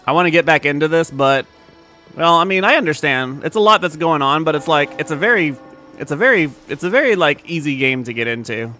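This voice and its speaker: Young man, bright forceful voice, casual swearing stream chatter, faint music behind. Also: a little high, animated, a little fast. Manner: laid-back and profane, with frequent fillers and laughs. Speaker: game streamer.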